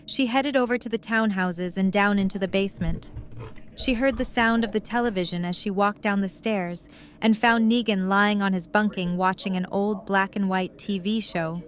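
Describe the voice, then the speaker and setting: A woman's voice: mid-range voice, slight background noise. A woman reading scripted narration as the narrator of an audio drama.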